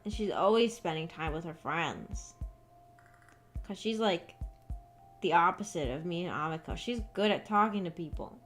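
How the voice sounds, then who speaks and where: A young woman streaming, chatting casually and rambling with lots of fillers, her voice a bit rough from just waking up. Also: on the low side, lively intonation, moderate pace, faint music behind.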